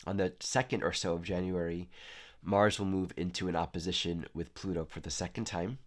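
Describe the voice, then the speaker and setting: A man with a lowish voice gives a reflective astrology talk. He sounds congested and nasal. He speaks calmly and earnestly, and his phrases trail off softly. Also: brisk. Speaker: astrology teacher. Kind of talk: reflective spiritual talk to camera.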